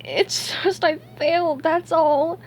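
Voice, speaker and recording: Casting: woman to play a young male cartoon sponge, very high, overdramatic, emotional, wailing. Noisy recording with hum. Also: very animated, measured pace, exaggerated voice. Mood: tearful, despairing. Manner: dramatic, wailing and exclaiming.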